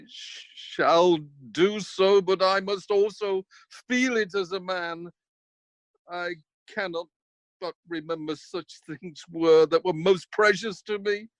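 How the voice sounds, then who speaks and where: Male actor as grieving warrior lord, slow and halting, dry close sound, radio Shakespeare. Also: high-pitched, no echo. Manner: slow, halting, anguished delivery. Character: grief-stricken Scottish nobleman, proud warrior father. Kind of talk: amateur radio-play Shakespeare acting. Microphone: recorded over video call.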